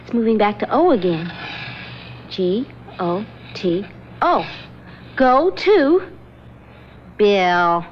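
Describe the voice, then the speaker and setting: A woman's voice: medium pitch, takes it slowly, long pauses. An actress playing a nervous, playful young woman in a 1970s radio drama. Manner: animated, laughing, a little jittery. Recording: dull old radio broadcast with faint hiss.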